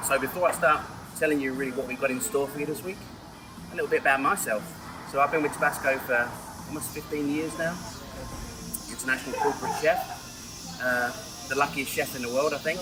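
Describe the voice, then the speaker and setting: A man's voice: medium pitch, fairly even intonation, a little fast, noisy background. A man working as a corporate chef, welcoming a guest in a friendly, enthusiastic way. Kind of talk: welcoming guide talk. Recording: slightly distant with music behind.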